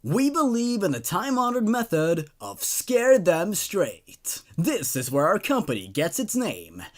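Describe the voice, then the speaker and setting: A man voicing a slick, menacing company pitchman in an infomercial-style horror story. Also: huge pitch swings, measured pace, exaggerated voice. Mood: gleeful menace. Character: slick, menacing company pitchman selling child-scaring services.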